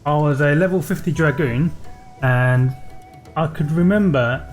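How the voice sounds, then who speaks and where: A man's voice: fairly high pitch, a little slow, faint music behind. A man giving casual let's play commentary, explaining what he is doing as he plays. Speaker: game streamer.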